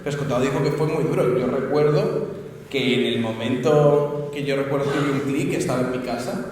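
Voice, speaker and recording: A man's voice: medium pitch, brisk, a little mellow. A man from talking casually and animatedly on a round-table panel, swearing freely. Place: large conference hall. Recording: echoey hall from far off, faint hiss.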